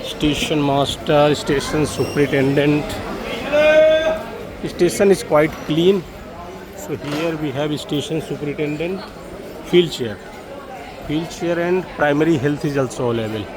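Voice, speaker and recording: Male travel vlogger, Indian accent, fairly high-pitched, hesitant explanatory walk-through, recorded in a busy crowded station. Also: unhurried, pauses often, crowd noise, poor audio. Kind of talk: informal walk-through guide commentary. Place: public railway station, on the move.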